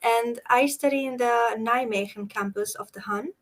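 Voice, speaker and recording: A woman's voice: on the high side, normal speed, fairly bright tone. Young Romanian woman student, hesitant. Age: young adult. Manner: hesitant, searching for words, many "um"s. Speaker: university student guest. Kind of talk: casual webinar chat.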